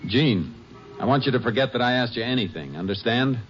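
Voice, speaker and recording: An American man acting a steady, no-nonsense Texas Ranger investigator in a 1950s radio crime drama, terse, measured and authoritative. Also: medium pitch, slight background noise, lightly acted. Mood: businesslike. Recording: dull old radio recording with music.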